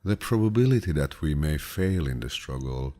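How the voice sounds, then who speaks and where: A man with a deep, low voice reads quotations as an audiobook in a calm, measured, grandfatherly way. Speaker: audiobook narrator. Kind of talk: scripted audiobook reading of quotations.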